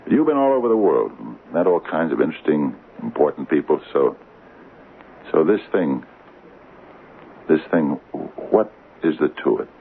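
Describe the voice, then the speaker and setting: Troubled small-town mayor, radio drama. Halting, humble, swinging expressively from a very deep register. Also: male voice, very animated, exaggerated voice. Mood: uneasy, humble. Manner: halting, stammering, earnest. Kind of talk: old-time radio drama acting. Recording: phone-like, noisy old radio recording.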